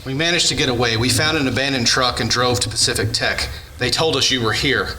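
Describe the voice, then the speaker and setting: A man's voice: medium pitch, a little fast, pushed and bright, rough recording. A man playing an urgent, decisive physicist in a radio drama, earnest and clipped. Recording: faint noise behind the voice.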